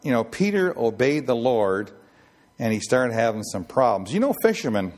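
A man in his sixties with a raspy voice, giving an informal Bible teaching and rambling with many fillers and restarts. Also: mid-range voice, sing-song, moderate pace, darkish tone. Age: 60s. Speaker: ship chaplain preacher.

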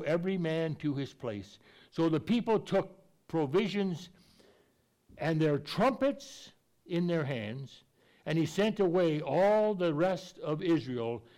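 A man's voice: fairly high pitch, darkish tone. A man preaching a conversational Bible teaching, folksy and plainspoken, with an occasional stutter and restarts.

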